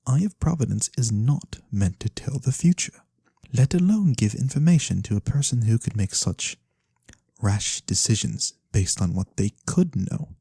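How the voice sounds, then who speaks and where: A performer voicing a mysterious, courteous fantasy lorekeeper in a soft-spoken ASMR roleplay, breathy and theatrical with knowing pauses. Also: mid-range voice, animated, no room sound, studio quality. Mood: ominous, reluctant. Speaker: storyteller character.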